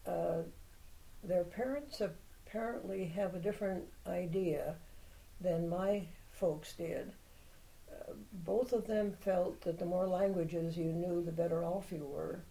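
An older woman, a native Czech speaker, talks slowly and at length, reminiscing as she goes. Her voice sits a little low and sounds warm and mellow. She says what she thinks without fuss. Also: vocal fry, low-quality recording. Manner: unhurried reminiscing, plainspoken opinions. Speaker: interviewee, Czech heritage club member. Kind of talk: oral history interview.

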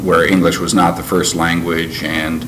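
An older man who grew up in Canada, recalling his past in an interview in a measured, reflective way with frequent pauses. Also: low-pitched, flat delivery, fairly bright tone. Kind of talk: oral history interview. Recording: noisy background ambience.

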